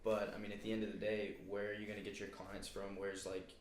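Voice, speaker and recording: A twenty-year-old man speaks in a fairly low voice, explaining his point earnestly and plainly. The room echoes around him, with a faint hiss underneath. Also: completely flat delivery, fast talker. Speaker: guest, young business owner. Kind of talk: casual chat. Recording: roomy echo with faint noise.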